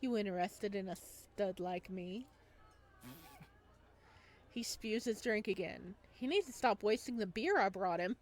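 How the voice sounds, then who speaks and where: A woman's voice: medium pitch, lively intonation, a little slow, pauses often. A woman gaming streamer with a giggly, playful manner, reading visual novel text aloud and commenting as she plays.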